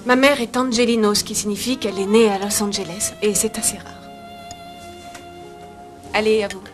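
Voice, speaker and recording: A woman's voice: mid-range voice, fairly even intonation, moderate pace, music in the background, natural voice. A female actor playing a self-possessed landlady in French-dubbed thriller film dialogue, direct, polite and conversational. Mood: friendly, curious. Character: self-possessed, friendly but brisk American landlady.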